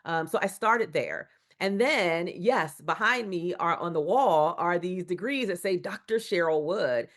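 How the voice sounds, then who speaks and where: A woman with a lowish voice speaks as a podcast guest. She talks earnestly and emphatically, stacking repeated phrases the way a preacher builds a point. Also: animated, a little slow, hardly any pauses, fairly bright tone. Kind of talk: conversational interview.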